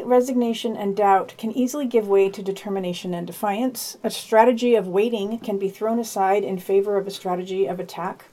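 A woman's voice: medium pitch, darkish tone. A woman hosting a podcast, reading a scripted news digest and dropping in casual asides.

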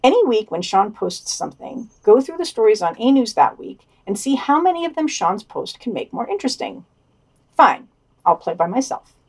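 A woman's voice: on the high side, very animated, normal speed, breathy edge. A woman co-hosting a podcast, reading out a scripted news digest with commentary.

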